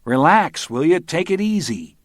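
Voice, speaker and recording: A man's voice: lowish voice, normal speed, dry recording, clean studio sound, lightly acted. A man voicing a tough, short-tempered middle-aged man who talks bluntly and barks orders. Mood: yelling. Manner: gruff, blunt, shouting.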